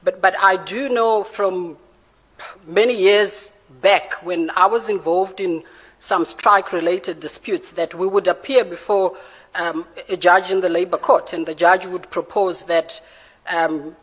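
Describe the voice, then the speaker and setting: A Zimbabwean woman in her forties answering formal interview questions in a measured, careful and hesitant way, with a Zimbabwean accent. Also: lowish voice, breathy edge. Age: 40s. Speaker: candidate for judge being interviewed. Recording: reverberant hall sound.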